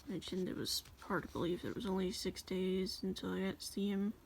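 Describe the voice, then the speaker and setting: A woman casually reading her journal aloud as a voice-over, in a rambling, halting way. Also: mid-range voice, steady tone, vocal fry, a little mellow, a little hiss or hum. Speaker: journal flip-through video creator.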